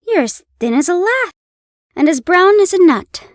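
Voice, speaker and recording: A woman's voice: very high-pitched, sing-song, normal speed, no room sound, studio quality, voice pushed up. A woman voicing a friendly, chatty young male hospital dresser in a read-aloud story.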